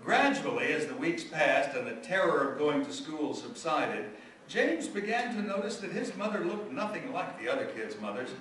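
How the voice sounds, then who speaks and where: A man playing the narrator of a family story in a scripted radio drama, telling it in a measured, deliberate way. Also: fairly high pitch, very animated, fairly bright tone, slight background noise. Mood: measured, wry. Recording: echoey, distant microphone.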